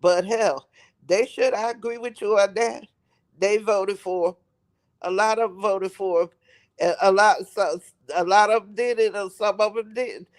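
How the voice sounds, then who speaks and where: A man with a raspy voice from a head cold, rambling on a live stream and repeating himself for emphasis. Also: sits high, fairly even intonation, normal speed. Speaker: livestream host. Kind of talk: casual live-stream commentary.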